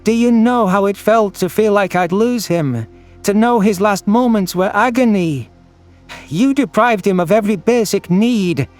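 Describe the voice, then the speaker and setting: A man's voice: high-pitched, unhurried, darkish tone, faint music behind, voice pushed up. A man voicing a furious, bitter alien prey creature in an audio drama, accusatory and screeching. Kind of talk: audio drama character acting.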